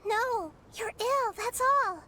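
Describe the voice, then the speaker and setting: A woman voicing a small, gentle, wise child-like goddess in a video game, earnest, thoughtful and articulate. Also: very high voice, slow pace, a little hiss or hum, exaggerated voice. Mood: pleading.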